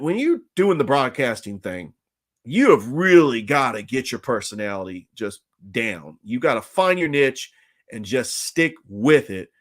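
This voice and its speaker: A man's voice: mid-range voice, fairly bright tone. Opinionated man ranting about sports. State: voice strained, nearly lost. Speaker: sports podcast host. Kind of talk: solo sports talk podcast.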